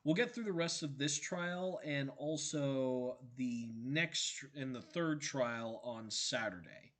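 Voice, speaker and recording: A hoarse male streamer in casual stream chat, tired and rambling, thanking his viewers. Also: medium pitch, slow pace, a bit bright. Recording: game music playing behind.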